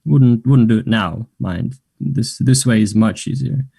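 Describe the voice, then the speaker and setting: Actor playing a confident, mellow, flirty man. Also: medium pitch, measured pace, creaky, breathy edge. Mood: teasing, forward. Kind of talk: table read of a stage play.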